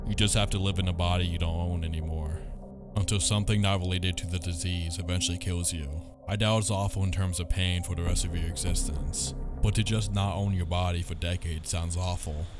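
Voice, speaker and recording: A man reading a scripted video essay as its narrator. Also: deep voice. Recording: loud music under the voice.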